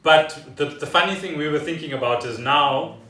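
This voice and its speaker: A man's voice: on the high side, moderate pace, pushed and bright. A South African man chatting casually and loosely as a podcast host. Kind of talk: casual rambling chat. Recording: echoey room, distant microphone.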